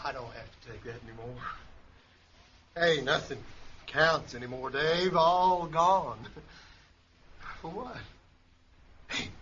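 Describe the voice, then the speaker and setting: An actor playing a crude, drunken, swaggering male astronaut in a radio play, slurring and boastful. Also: fairly high pitch. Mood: drunken bravado. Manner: leering, boastful, coarse. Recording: dull lo-fi recording, faint noise.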